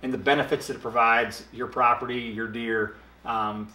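A man in his thirties talks a product through in a relaxed, unhurried way, sprinkling in ums as he explains. His voice sits at an ordinary middle pitch, and the room adds a noticeable echo around it. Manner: relaxed explaining with hand gestures and filler ums. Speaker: product expert. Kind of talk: casual product talk. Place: dark studio set, indoors. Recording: roomy echo.

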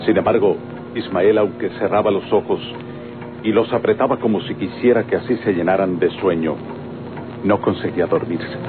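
A man with a Mexican accent giving solemn, deliberately phrased narration for a dramatic radio serial. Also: deep voice, fairly even intonation, natural voice. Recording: tinny old radio recording, music behind.

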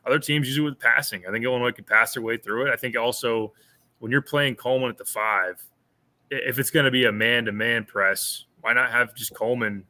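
A man's voice: medium pitch, fairly even intonation, brisk, pushed and bright. A man in his thirties co-hosting a sports podcast, breaking down a game in a thoughtful way, often restarting and repeating words. He talks from a small room at home over a video call, wearing headphones. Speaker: podcast co-host, sports analyst. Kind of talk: casual postgame analysis. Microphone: over-ear headphones with a webcam on a video call.

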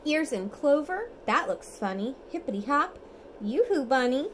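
A woman's voice: sits high, very animated, normal speed, slight background noise. A woman reads a picture book aloud to a toddler in an encouraging, sing-song way, as a mother does. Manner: sing-song, encouraging child-directed speech. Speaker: mother reading a bedtime story. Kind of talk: read-aloud storytelling to a toddler. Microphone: phone at arm's length.

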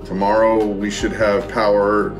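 A man's voice: fairly low pitch, monotone, a little slow, over music. Easygoing bearded homestead vlogger man. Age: middle-aged. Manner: easygoing, joking, faith phrases. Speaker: vlog host. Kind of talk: casual family vlog chat. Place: home interior, kitchen and living room.

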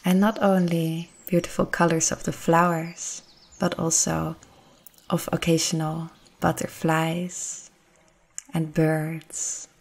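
A woman guides a meditation in a low voice. Her delivery is very slow and soothing, with long pauses between phrases. The intonation stays gentle and flat, never hurried. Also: breathy edge.

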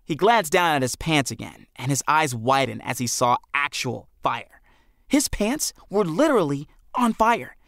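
A man's voice: fairly high pitch, big pitch swings, measured pace, gravelly, fairly bright tone, no room sound. A man narrating a story expressively as an audiobook reader, close on a studio microphone.

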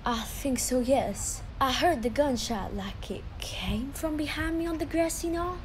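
High-voiced young Southern lady reporter. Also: measured pace, background noise. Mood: earnest. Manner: polite, genteel. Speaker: actor. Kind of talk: audio play dialogue.

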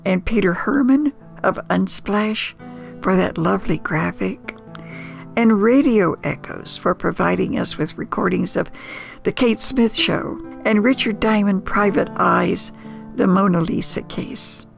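An older American woman telling nostalgic personal stories as host, in a folksy, unhurried manner with emphatic pauses. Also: medium pitch, vocal fry, soft background music. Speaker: storyteller and host.

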